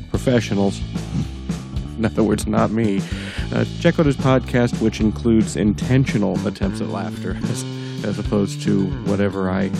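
Congested, gravelly male podcast host. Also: lowish voice. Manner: self-deprecating. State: congested, under the weather. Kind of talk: casual comedic chat.

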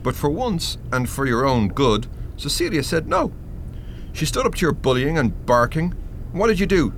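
An actor in a radio play playing a stern, sardonic spirit guide, with an Irish accent and a dry, reproving manner. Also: male voice, fairly high pitch, sing-song, normal speed, a bit bright, slight background noise.

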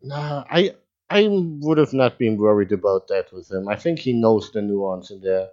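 A man hosting a podcast in casual chat, relaxed and teasing, laughing often. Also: lowish voice, moderate pace. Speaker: podcast host. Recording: slightly dull lo-fi audio.

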